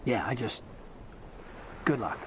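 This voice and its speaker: A man's voice: mid-range voice, lively intonation, slow pace, slight background noise. An actor playing an earnest, dutiful young male lieutenant in a video game, respectful in manner. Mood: sincere, hesitant. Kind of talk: video game cutscene acting.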